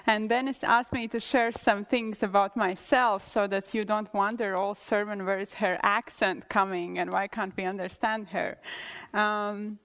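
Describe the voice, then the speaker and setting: A woman's voice: mid-range voice, steady tone, unhurried, fairly bright tone, low-quality recording. A woman from Latvia in her thirties, with a Latvian accent, speaking English as a second language, giving an earnest testimony-style sermon at church with some hesitant restarts.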